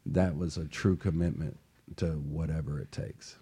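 Deep, husky male talk show host. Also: steady tone, normal speed, mellow. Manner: conversational, keeps checking in with "right?". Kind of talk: casual talk show storytelling.

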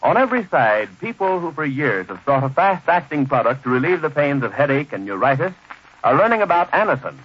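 An American male announcer in classic old-time radio style, formal and emphatic. His voice is fairly high and very lively in pitch. The recording is dull and muffled, with music present. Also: a little fast, breathy edge. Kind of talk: 1930s-40s radio announcing, scripted sponsor message.

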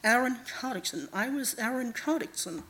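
A woman's voice: a little high, normal speed, fairly bright tone, natural voice. A woman voicing a troubled undead male king, a bitter and defiant servant who speaks tersely and intensely, in a fantasy audiobook. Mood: blank, subdued.

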